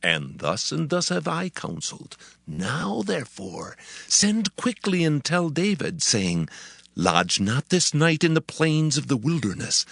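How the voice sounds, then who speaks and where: An actor playing a shrewd royal counselor in a dramatized scripture reading, pleading his case slowly and with weight. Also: medium pitch, creaky, fairly bright tone, no room sound, studio quality, heavily acted. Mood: urgent. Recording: music bed behind voice.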